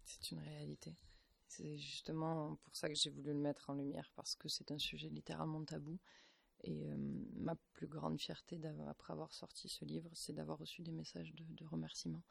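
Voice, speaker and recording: A woman's voice: low-pitched, level tone, moderate pace, creaky, slightly rough, no echo. Woman, author guest on radio, stumbles over words, very dry sound. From Toulouse, France. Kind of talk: radio interview.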